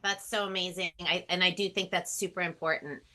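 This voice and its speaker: A woman's voice: fairly low pitch, fairly even intonation, a little fast, pushed and bright. A woman chatting casually and appreciatively as the host of a music interview. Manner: gushing, appreciative. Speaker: host and singer-songwriter. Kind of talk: casual video-call chat.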